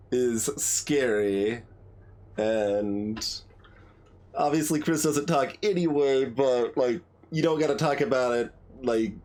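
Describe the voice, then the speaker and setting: A man's voice: medium pitch, darkish tone. A man giving casual, musing commentary as he plays a video game, often hesitating and trailing off. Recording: music behind the voice. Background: game music.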